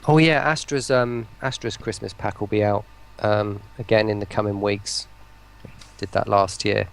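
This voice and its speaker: A man's voice: lowish voice, steady tone, moderate pace, creaky, smooth. A man chatting casually as a stream guest, hesitant and often stumbling over his words. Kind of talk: casual chat.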